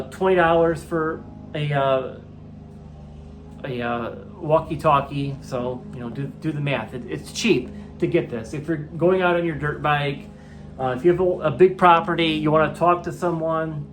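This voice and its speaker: A man's voice: fairly high pitch, steady tone, normal speed. An American man hosts a casual gear review and walkthrough, chatting informally to viewers as he explains things for beginners. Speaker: host of a product review video.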